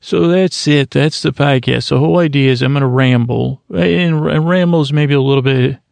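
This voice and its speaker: A man's voice: fairly high pitch, normal speed, creaky, darkish tone, dry recording. A man hosting his own podcast, rambling and self-mocking, often laughing at his own jokes. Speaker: podcast host. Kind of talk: rambling solo podcast intro.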